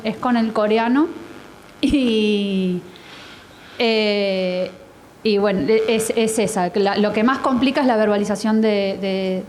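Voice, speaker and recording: A woman's voice: a little high, a little mellow, a little hiss or hum, low-quality recording. Argentine woman speaking at a panel. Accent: Argentine Spanish. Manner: informal, hesitant with many restarts. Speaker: panelist translator. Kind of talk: panel discussion answer. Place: book fair hall.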